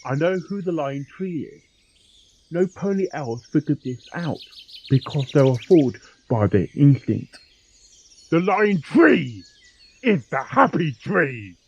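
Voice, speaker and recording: A man playing a determined, sharp-witted pony detective in an amateur audio drama, reasoning with deliberate emphasis. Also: a little high, big pitch swings, breathy edge, lo-fi sound, full character voice. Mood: musing, confident.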